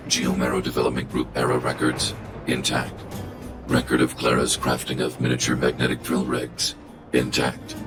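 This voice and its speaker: A towering, stoic robot guardian for a video game. The voice sits very low and barely moves in pitch, delivering clipped, formal system reports with clear music underneath. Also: male voice. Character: huge stoic robot guardian, male, fiercely protective.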